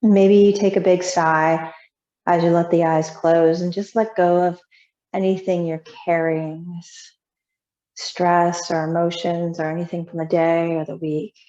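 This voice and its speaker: Brief: female yoga instructor for guided relaxation, mellow and soothing, lowish pitch, gentle instructions with long pauses. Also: little pitch movement. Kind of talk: guided meditation. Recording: roomy echo, slightly muffled sound.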